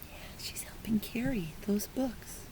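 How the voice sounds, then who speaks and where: A woman teacher reading a picture book aloud to young children, stopping to ask questions and encourage them in an expressive way. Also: on the high side, a little slow, in a whisper, poor audio. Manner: encouraging, praising child listeners; expressive, exclaiming and prompting. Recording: clear background noise.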